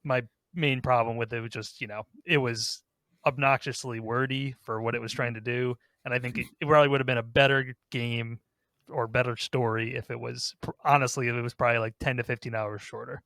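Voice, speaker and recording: A man of average pitch chats casually as a podcast co-host. He gives his opinions in an informal way, recorded dry with no room sound. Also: steady tone, brisk, sharp tone, dry recording. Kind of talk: casual chat, giving opinions.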